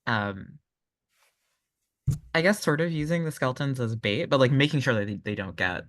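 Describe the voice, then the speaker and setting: A man playing a tabletop roleplaying game, working out a plan in a tentative, halting, casual way. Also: mid-range voice, animated, fairly bright tone, no echo, clean studio sound. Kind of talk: casual improvised planning.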